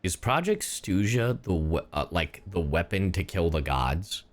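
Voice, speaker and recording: Male streamer, lower register, loose chatty game commentary with casual swearing and asides to viewers. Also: animated, a little slow. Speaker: livestreamer, gamer.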